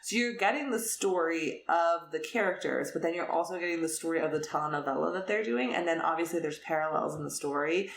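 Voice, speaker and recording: A woman with a low voice chats casually as a podcast co-host. Her talk is loose and conversational, full of filler words. The recording is roomy and echoey, with some background noise. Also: a little fast, vocal fry, few pauses. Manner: conversational, peppered with "like" and "super". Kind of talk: casual book chat.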